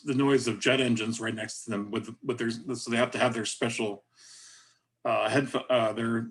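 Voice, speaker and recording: A man with a middling pitch moderates an online workshop. He talks in a casual, conversational way over a video call. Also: a bit bright. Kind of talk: casual chat.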